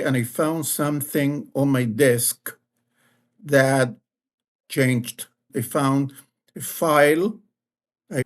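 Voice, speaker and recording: Slow-speaking man with an Argentine accent. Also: mid-range voice, darkish tone. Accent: Argentine Spanish. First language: Spanish. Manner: slow, measured storytelling with pauses. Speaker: guest, leadership advisor. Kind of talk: personal story in an interview.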